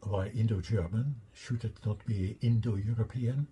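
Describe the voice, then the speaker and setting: An older man with a Dutch accent, a native Dutch speaker, giving a deliberate slide lecture and explaining his own theory step by step. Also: lowish voice, warm tone, a little hiss or hum.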